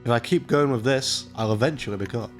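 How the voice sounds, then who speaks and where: A man's voice: mid-range voice, fast, soft background music. A man hosting a let's play, reading the game's text aloud with crude joking asides. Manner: swearing, laughing, reads narration with jokey asides.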